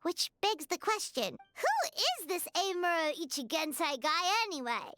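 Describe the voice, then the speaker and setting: A woman voicing a tiny, childlike, excitable fairy companion girl in a video game, perky and loyal. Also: extremely high pitch, normal speed, no echo, studio quality, soft background music. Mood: eager. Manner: perky, speaks of self in third person. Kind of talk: English video game voice acting.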